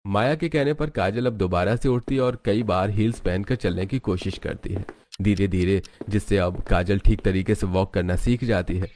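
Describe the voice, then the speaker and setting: A man with a fairly low voice narrates a cartoon story from a script. There is faint noise and some clicking in the recording. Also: moderate pace, a little mellow.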